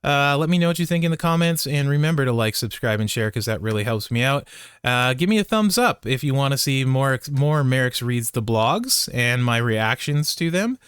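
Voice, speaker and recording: A man's voice: medium pitch, animated, moderate pace, fairly bright tone, smooth, no room sound, studio quality. A man giving casual, off-the-cuff gaming commentary as a content creator, in a blunt, conversational way. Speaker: video game content creator and host.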